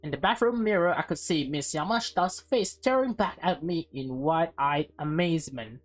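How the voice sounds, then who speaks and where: A woman with a fairly low voice reads a visual novel aloud over prominent music. Her delivery is expressive, and she slips between narrating and offhand casual comments as host of the playthrough. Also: moderate pace.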